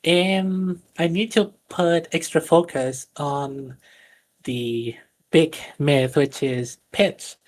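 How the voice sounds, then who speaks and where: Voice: medium pitch, drawn-out words, a little mellow. A voice coach who grew up speaking Spanish teaches a class over a live stream. The delivery is earnest and explanatory, full of small fillers and restarts. A faint background hiss sits under the mid-range voice.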